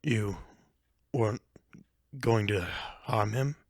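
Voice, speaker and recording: A man voicing a huge old goat-like monster, unhinged but gentle, speaking in a calm, formal, courteous manner for an audio story. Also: lowish voice, dry recording, clean studio sound. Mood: regretful. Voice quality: calm, measured.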